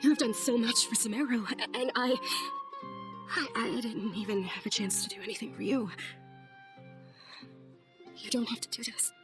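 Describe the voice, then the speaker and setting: A woman playing an earnest, humble young noblewoman, soft and hesitant with emotion, in a game cutscene. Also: on the high side, normal speed, long pauses, music in the background, lightly acted. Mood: moved, humble. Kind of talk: scripted game cutscene acting.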